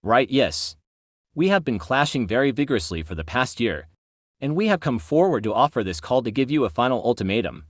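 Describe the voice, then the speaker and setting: A man's voice: medium pitch, very animated, a little fast, no echo, clean studio sound. A man playing a blunt government envoy. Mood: formal. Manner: blunt, commanding. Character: stern male government envoy delivering an ultimatum. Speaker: presidential representative. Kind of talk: amateur audio drama.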